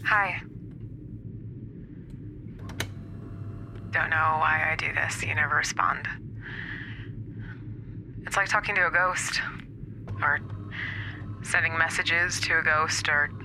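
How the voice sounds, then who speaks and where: A woman playing a hurt, pleading estranged wife, halting and emotional, leaving a voicemail in a naturalistic audio drama. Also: low voice, fairly even intonation. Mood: hurt, hesitant. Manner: halting, emotional, plain-spoken. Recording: noisy recorded voicemail message.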